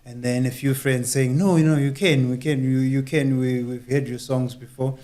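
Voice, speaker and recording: A man answers questions in an easygoing way. His voice sits in the middle and stays flat and even, and he laughs often between his answers. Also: medium pitch, normal speed. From Zimbabwe. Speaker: guest, reggae musician. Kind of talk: interview answers.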